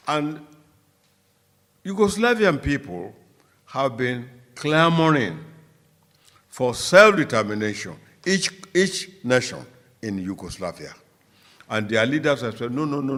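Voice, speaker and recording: A man's voice: mid-range voice, a bit bright, big-room sound. A man giving political commentary on a radio show, talking insistently and cutting in to keep the floor. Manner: insistent, cuts in to hold the floor.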